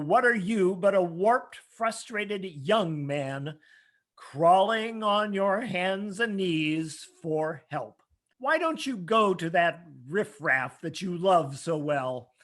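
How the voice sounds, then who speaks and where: Man playing a slow, high-voiced sneering old villain. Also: a bit bright, heavily acted. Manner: slow, contemptuous. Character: cold, sneering old rich villain, male. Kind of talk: old-time radio drama acting.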